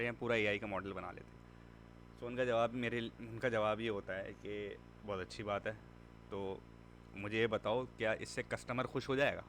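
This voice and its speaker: A man's voice: lowish voice, a bit bright, a little hiss or hum. A man teaching a machine learning class in a conversational way, mixing in English words and repeating points for emphasis. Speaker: instructor. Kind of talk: classroom lecture.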